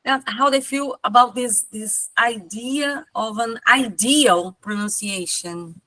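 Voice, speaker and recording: A middle-aged woman moderates an informal seminar with a bright, forceful, fairly high voice. She repeats phrases for emphasis, and the recording is dry with very little echo. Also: slow. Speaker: seminar moderator and language teacher.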